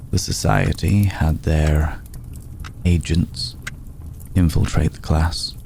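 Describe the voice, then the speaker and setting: An ancient vampire nobleman acted by a man speaks in an extremely low, gravelly voice. He is slow and deliberate, formal and brooding, protective yet vengeful, in a hushed ASMR roleplay. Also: a little hiss or hum, exaggerated voice. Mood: gentle, explaining. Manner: measured, formal, deliberate with long pauses.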